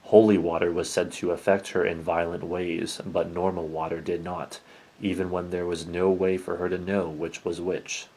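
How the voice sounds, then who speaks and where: A man narrates allegedly real paranormal tales in a very deep, husky voice. His delivery is formal and measured, with little rise and fall in pitch. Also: flat delivery, darkish tone, poor audio. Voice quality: low, husky.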